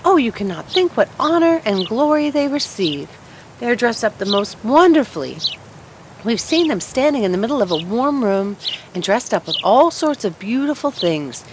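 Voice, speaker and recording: A woman voicing excited, chattering sparrows in a children's storybook, singsong and gushing. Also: fairly high pitch, noisy background, pitched above the performer's own voice. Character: excited chattering flock of sparrows, admiring and knowing.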